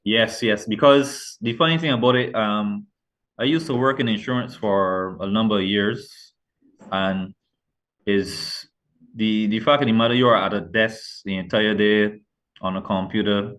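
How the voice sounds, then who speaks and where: A man's voice: fairly low pitch, a little slow, a bit bright. A middle-aged man from Barbados with a Barbadian accent, talking as an author guest in a casual interview, earnest and rambling with many restarts. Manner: rambling, self-correcting, earnest.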